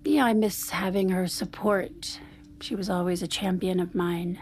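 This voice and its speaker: A woman's voice: lowish voice, steady tone, a little slow, darkish tone, close to an everyday voice. An actress in an audio drama playing a guarded, evasive married woman who speaks hesitantly and deflects. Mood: wistful, guarded. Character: guarded, evasive married woman in therapy, curt when pushed. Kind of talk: naturalistic audio drama acting.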